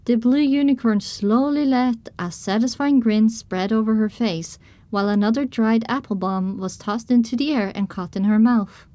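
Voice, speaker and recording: A storybook-style first-person narrator played by a woman, a pony mare telling her adventure. Her voice sits a little high and is recorded close and dry, with measured, descriptive delivery. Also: warm tone, no room sound, close to an everyday voice. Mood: narrating. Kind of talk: scripted audiobook narration.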